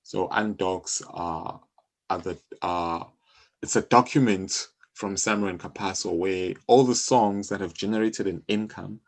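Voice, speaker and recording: Low-pitched, gravelly South African man. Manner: explanatory, halting with repeated phrases. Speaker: interview guest, music publisher. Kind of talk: conversational interview explanation.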